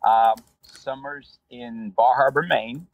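Brazilian man with a husky voice. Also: medium pitch, slow, narrow, tinny audio. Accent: Brazilian Portuguese. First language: Portuguese. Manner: relaxed, rambling, many fillers. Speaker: rideshare driver guest. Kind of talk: casual interview storytelling.

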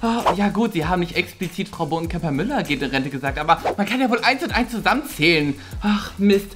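A man's voice: high voice, expressive, fast, background noise. A young man playing a meek, gossipy schoolteacher in a comedy sketch, with a hesitant, whiny way of talking. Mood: dismayed. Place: small office meeting room, indoors.

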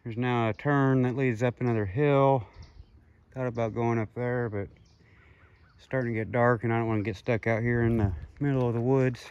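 A man's voice: mid-range voice, steady tone, normal speed, a little mellow, dry recording, highs cut off. A man out of breath from walking, narrating a casual outdoor vlog as he explores. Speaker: vlogger exploring caves. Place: outdoors in woods and hillsides. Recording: handheld outdoor recording with clear noise.

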